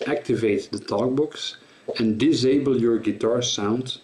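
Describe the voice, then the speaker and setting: A man giving an informal tutorial explanation as a presenter, in a halting, self-correcting way. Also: medium pitch, darkish tone. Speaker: presenter of guitar gear tutorial.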